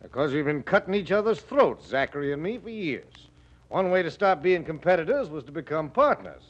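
A genial rustic businessman. His voice rides high and swings widely, full of homespun courtesy. It comes through a dull 1940s radio recording. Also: expressive, brisk. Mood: genial, plainspoken. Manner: folksy drawling courtesy, homespun grammar. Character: folksy rustic Western businessman, genial and plainspoken.

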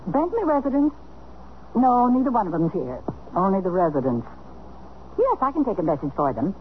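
An actress playing a droll, sarcastic housekeeper in a 1970s radio comedy drama. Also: female voice, medium pitch, moderate pace, natural voice. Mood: incredulous. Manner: deadpan sarcastic answers. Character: wry, droll female housekeeper of a separated couple. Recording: muffled, noisy 1970s radio broadcast.

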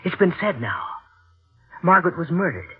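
An actor playing a bitter, sardonic husband in a 1940s radio thriller, accusing and mock-endearing on an old broadcast recording. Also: male voice, high-pitched, sing-song, moderate pace, slightly rough, exaggerated voice. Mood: bitter, taunting. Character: bitter, menacing, sardonic husband suspecting his wife of murder. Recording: old radio broadcast, thin phone-like sound, faint music.

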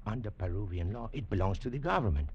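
An actor playing a courteous, scholarly male museum curator, speaking in a measured, deliberate way in a 1950s radio drama. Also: fairly low pitch, expressive, darkish tone, slight background noise. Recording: dull old radio broadcast.

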